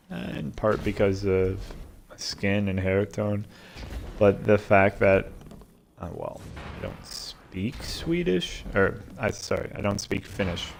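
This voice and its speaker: A man from America hosting a solo vlog in a casual, reflective way, halting and repeating words as he searches for phrasing. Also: on the low side, gravelly, slight background noise. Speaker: host of a solo vlog and podcast. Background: video game sound and music.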